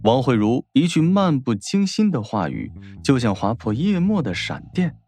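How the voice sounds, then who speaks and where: Lively male audiobook narrator. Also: medium pitch, moderate pace, soft background music. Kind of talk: dramatic scripted narration of a novel.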